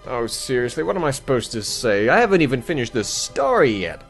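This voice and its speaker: A man's voice: mid-range voice, very animated, brisk, soft background music. A man giving guest commentary in a wry, wordy, theatrical manner, scripted but conversational. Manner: wry, verbose, theatrical phrasing. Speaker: guest reviewer.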